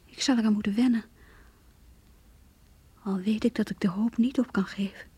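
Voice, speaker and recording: An actress playing a heartbroken, quietly bitter mistress in a radio drama monologue, very breathy, hushed and sorrowful. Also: female voice, mid-range voice, fairly even intonation, normal speed, no room sound. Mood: wistful, bitter, resigned. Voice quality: very breathy, often near whisper. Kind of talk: radio drama inner monologue, diary reading.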